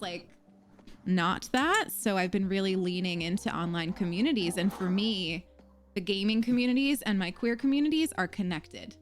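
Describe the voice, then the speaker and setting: A young adult woman hosting a casual livestream chat, talking in a loose, filler-heavy way and laughing easily. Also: lowish voice.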